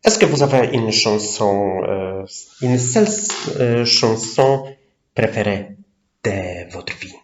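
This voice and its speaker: A man interviewer asking short quick-fire questions. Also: on the low side, reverberant, lo-fi sound.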